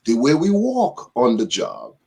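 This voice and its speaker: A man speaking as a guest on a radio panel, laying out his points in a measured, methodical order. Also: medium pitch, wildly swooping pitch, vocal fry, darkish tone. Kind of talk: panel discussion on a live radio show.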